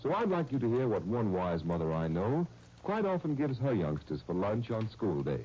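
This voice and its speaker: A man's voice: on the low side, wildly swooping pitch, normal speed, darkish tone, husky. A man announcing and narrating in a polished, emphatic 1930s radio style. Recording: dull 1930s radio broadcast.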